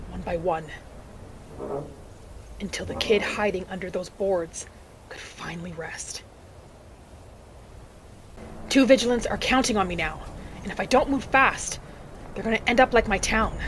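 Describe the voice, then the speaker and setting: A woman voicing a vengeful young orphaned vampire hunter, narrating a video game in character with earnest drama and breathy asides. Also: medium pitch, slight background noise, natural voice. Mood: grim resolve. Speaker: roleplay gamer narrating in character. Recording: music behind the voice. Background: game music.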